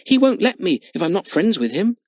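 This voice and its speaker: A man's voice: high voice, big pitch swings, a little fast, dry recording, pitched above the performer's own voice, exaggerated voice. A man voicing an eager, kind-hearted young circus boy in a storybook reading. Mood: pleading. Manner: earnest and pleading.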